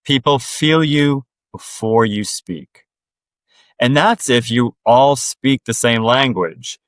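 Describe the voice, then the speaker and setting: A man speaking as a podcast guest in an earnest, direct way, sharing reflections in relaxed conversation and quickening when he presses a point. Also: mid-range voice, no room sound, clean studio sound.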